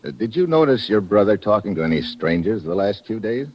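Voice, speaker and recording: An actor playing a savvy, informal American agent in an old-time radio detective drama. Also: male voice, on the low side, big pitch swings, a little fast. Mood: businesslike. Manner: informal, clipped, tough-guy. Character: gruff, savvy American secret agent investigator. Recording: noisy, muffled vintage radio recording.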